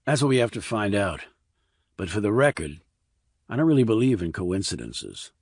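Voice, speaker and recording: A man's voice: on the low side, sing-song, normal speed, a little mellow, breathy edge, dry recording, clean studio sound, exaggerated voice. A man voicing a terse, probing military investigator with clipped, direct questioning in an audiobook. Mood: probing, matter-of-fact. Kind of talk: audiobook character dialogue.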